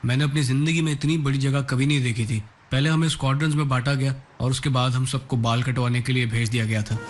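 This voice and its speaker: A man's voice: mid-range voice, fairly even intonation, quick pace. A man, a military cadet, recounting his own experience in an interview style. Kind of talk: interview-style personal account. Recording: loud music behind the voice.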